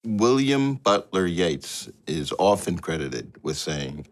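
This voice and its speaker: A man's voice: sits low, sing-song, slow pace. A man speaking earnestly and emphatically about his school in an interview. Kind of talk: inspirational interview.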